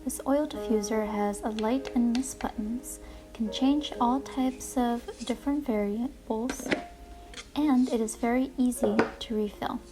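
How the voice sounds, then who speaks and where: A woman hosting a guided relaxation session, speaking in a calm, gentle, deliberate way with soothing narration. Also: on the high side. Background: music playing behind the voice.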